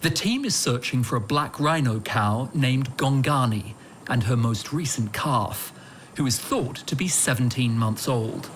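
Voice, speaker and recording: A man, a foreign correspondent giving measured, scripted documentary narration. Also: mid-range voice, background noise. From Australia. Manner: measured, earnest storytelling. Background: music.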